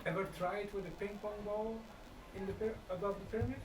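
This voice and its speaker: A man's voice: high-pitched, steady tone, fast, a little hiss or hum. A man in the audience putting casual questions to a speaker from the floor. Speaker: audience member asking questions. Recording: faint, echoey, far from the mic.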